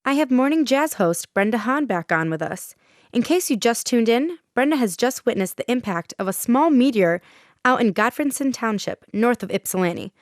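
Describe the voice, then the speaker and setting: Woman performing a female radio host, upbeat, animated delivery, dry studio sound, radio play. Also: fairly high pitch, moderate pace, no echo, close to an everyday voice. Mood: intrigued, chatty. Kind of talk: radio drama in live broadcast style.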